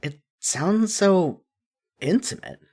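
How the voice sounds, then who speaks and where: A man narrating a scripted story in the second person with an expressive storytelling delivery. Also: sits high, slow pace, husky.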